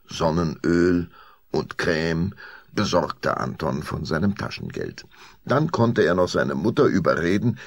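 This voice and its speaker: Children's audio drama narrator: man, low and raspy, animated storytelling, dry close studio sound. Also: dry recording.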